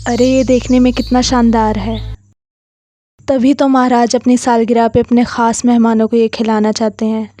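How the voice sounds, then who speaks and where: Woman as an earnest cow, high soft-toned voice. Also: level tone, normal speed, no echo, lightly acted. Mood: proud, admiring. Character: loyal, earnest female cow, a trusting royal servant. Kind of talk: children's story character acting.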